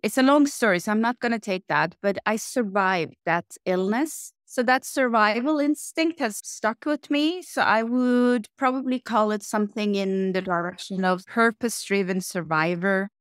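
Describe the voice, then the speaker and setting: A middle-aged woman from Iran who grew up in Norway, answering interview questions in a lively, reflective way and listing things in runs. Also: medium pitch, normal speed, few pauses, clean tone, no room sound, clean studio sound. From Iran, raised in Norway. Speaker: interview guest, tech executive.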